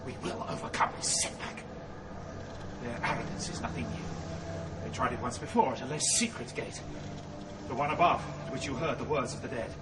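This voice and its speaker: An actor playing a wise, fatherly spirit guide in an audio drama, measured and authoritative. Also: mid-range voice, very noisy, lightly acted. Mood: steady resolve.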